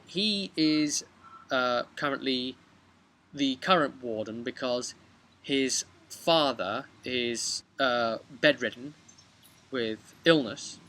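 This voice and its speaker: A British man in his thirties casually retelling a tabletop role-playing session, halting in places and animated in others, recorded outdoors on a camera microphone. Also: medium pitch. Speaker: game master recapping a session. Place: outdoors, on a bench by a house. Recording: outdoor webcam with background noise.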